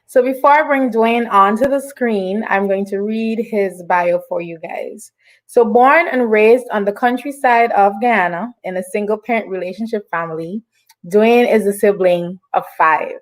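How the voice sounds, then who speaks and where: A woman presents an online interview show in a casual style. She sounds upbeat and encouraging, moving between reading introductions and chatting. Her pitch sits in a middle range for a woman. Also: normal speed.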